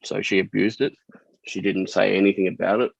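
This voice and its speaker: A man's voice: lowish voice, flat delivery, a little fast, vocal fry, no room sound. An Australian man from Tasmania, talking plainly about his life as a guest in a casual interview. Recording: slightly dull, lo-fi audio.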